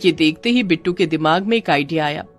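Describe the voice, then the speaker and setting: A woman's voice: sits low, fast talker, faint music behind. A woman reading scripted narration for a children's moral story.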